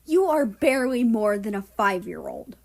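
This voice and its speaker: A woman's voice: medium pitch, big pitch swings, normal speed, vocal fry, darkish tone. A woman voicing a stern, fussy, short-tempered stepfather in a story, scolding indignantly. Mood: exasperated scolding.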